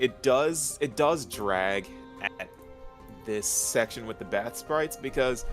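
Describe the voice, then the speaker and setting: A man in a casual chat about a game's story, speaking in a measured, slightly hesitant way as he thinks aloud. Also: medium pitch. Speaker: video co-host.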